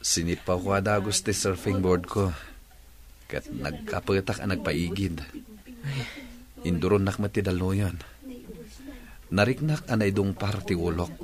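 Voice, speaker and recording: An actor playing an earnest young male patient in a radio drama, with a husky voice and an Ilocano Filipino accent. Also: low-pitched, unhurried, pauses often, a crowd in the background. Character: injured young male surfer patient, earnest and low-key.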